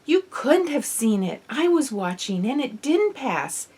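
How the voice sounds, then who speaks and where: A woman's voice: fairly high pitch, big pitch swings, a little slow, darkish tone, breathy edge, pitched above the performer's own voice, full character voice. A desperate, stubborn girl of twelve, acted out by a woman for an audiobook. Her voice is raised and emphatic, insisting on what she saw. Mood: desperate, insistent. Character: desperate, stubborn twelve-year-old girl, eyewitness to a wreck.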